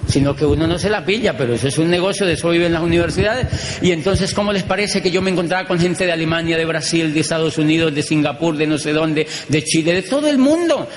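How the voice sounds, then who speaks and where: A middle-aged man with a Colombian accent tells his story to an audience in a slightly high voice, hammering home phrases through rhythmic repetition. The sound bounces around a large hall with a long reverb tail and a faint room hum underneath. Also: fast talker. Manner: animated, rhythmic repetition, acts out dialogues. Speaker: motivational speaker.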